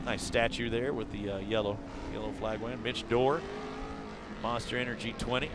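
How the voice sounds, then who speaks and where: A man commenting live on an off-road truck race at an outdoor track, getting excited as the action picks up. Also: medium pitch, normal speed. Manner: excitable play-by-play, rising with the action. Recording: loud engine noise from outdoor track. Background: racing trucks and engines.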